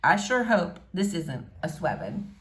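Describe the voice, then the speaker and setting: Animated woman reading a story aloud. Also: lowish voice, unhurried. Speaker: read-aloud presenter. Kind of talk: expressive storybook narration for young readers.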